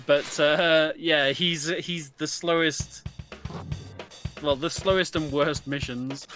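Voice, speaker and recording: A man's voice: fairly high pitch, fairly even intonation, slow. A man co-commentating on a speedrun in a casual, explanatory, somewhat hesitant way. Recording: loud game music behind. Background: video game music.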